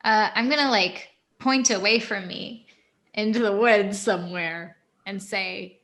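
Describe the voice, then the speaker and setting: A woman casually playing a tabletop game, relaxed and chatty. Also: mid-range voice, unhurried. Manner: casual, laid-back, lots of fillers. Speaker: player.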